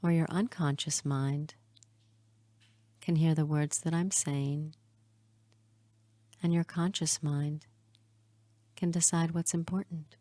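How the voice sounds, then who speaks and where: Female meditation guide: very unhurried, soft and relaxed tone, hushed, soothing, lingering pauses between phrases. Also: low-pitched, no room sound. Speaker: hypnotherapist guiding a meditation.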